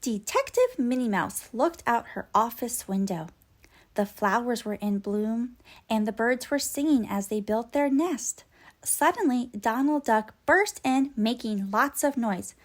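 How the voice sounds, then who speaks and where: A woman giving an expressive read-aloud narration of a children's storybook. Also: fairly high pitch, measured pace. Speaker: storybook reader.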